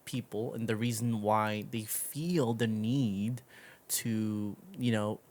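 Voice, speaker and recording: A man's voice: fairly low pitch, steady tone, slow pace, darkish tone. A man hosting a casual conversational interview, speaking informally with slang and loose swearing. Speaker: interview show host.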